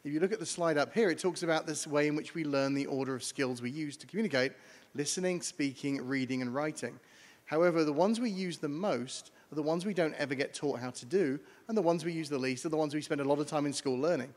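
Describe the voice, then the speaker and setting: A man with a British accent giving a brisk conference talk from slides, explaining to an audience. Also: fairly high pitch. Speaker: panel speaker on air traffic communication. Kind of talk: conference presentation. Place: large conference hall. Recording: long hall reverb.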